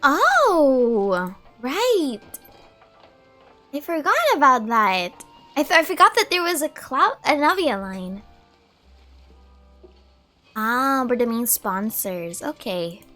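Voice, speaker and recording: Animated, high-voiced young woman streamer. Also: slow, long pauses. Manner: expressive, chatty. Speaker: gaming streamer. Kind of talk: casual gameplay reaction commentary. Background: soft game music.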